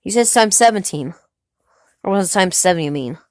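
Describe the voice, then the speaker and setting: A woman's voice: mid-range voice, expressive, darkish tone, no echo, studio quality. Curious nineteen-year-old woman. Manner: curious, asks many quick follow-up questions. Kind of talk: casual home conversation.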